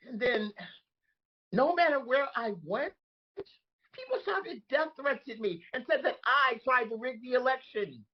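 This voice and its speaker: A woman playing an aggrieved, bewildered disgraced crony with a husky voice in a comedic table read. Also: a little high, highs cut off, exaggerated voice. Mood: haunted. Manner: indignant, emphatic, dramatic. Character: Rudy, aggrieved, bewildered disgraced man trapped in woman's body.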